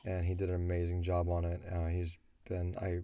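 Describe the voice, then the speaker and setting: A man with a stuffy, congested voice chatting casually and low-key as a host answering fan questions. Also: completely flat delivery, fairly quick, mellow, clean tone. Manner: low-key, unenthusiastic-sounding. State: congested from a cold. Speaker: host of an audio drama Q&A show.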